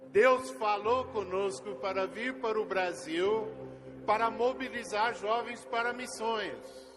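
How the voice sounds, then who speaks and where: An older man, a missionary whose first language is not Portuguese, telling his story to a congregation in a slow, storytelling way. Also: high-pitched. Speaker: missionary leader. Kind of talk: testimony sermon to a congregation. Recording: long hall reverb with faint music.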